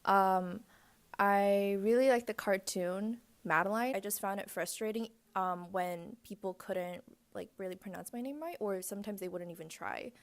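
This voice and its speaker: A young woman, giving relaxed, chatty interview answers with fillers. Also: mid-range voice, moderate pace. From Korea, raised in the United States. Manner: chatty, with fillers and light laughs. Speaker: interviewee, student.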